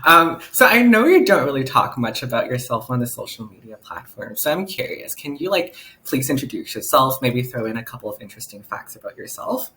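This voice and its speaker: A man's voice: mid-range voice, very animated, brisk, hardly any pauses, bright, forceful tone, poor audio. A man hosting a podcast, chatting casually with a guest in a playful, giggly, self-mocking way. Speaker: podcast host. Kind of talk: casual chat interview.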